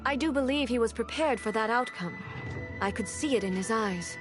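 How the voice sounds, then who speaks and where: A woman playing a dignified, stern ruling goddess and swordswoman in a video game, speaking in a formal, measured way. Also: on the high side, expressive, music in the background, close to an everyday voice. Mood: calm, reflective.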